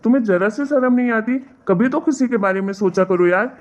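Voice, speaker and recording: A woman's voice: on the high side, fairly quick, darkish tone, close to an everyday voice. A woman voicing a muffled, exasperated young husband. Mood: reproachful. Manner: scolding, lecturing. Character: exasperated, reproachful young husband, protective of in-laws. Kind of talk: animated family drama dialogue.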